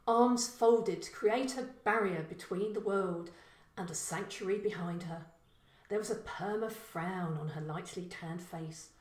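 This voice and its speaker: A woman reading a short story as scripted narration, in a measured, expressive storytelling manner. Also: medium pitch, roomy sound.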